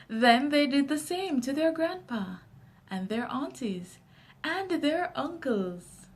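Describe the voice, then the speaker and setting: A woman with a Jamaican accent reading a children's story aloud in an expressive, deliberate storytelling manner. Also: sits high.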